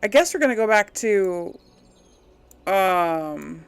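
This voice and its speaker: A woman's voice: medium pitch, animated, takes it slowly, clear, resonant tone. A woman giving casual commentary on a game she is playing, thinking aloud and often questioning herself. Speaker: gamer hosting a role-play playthrough.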